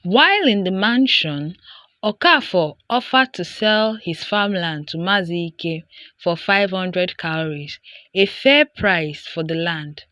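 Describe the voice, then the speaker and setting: A woman with a Nigerian accent narrating a scripted folktale in a deliberate, dramatic storytelling manner. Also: lowish voice, expressive, sharp tone, no room sound.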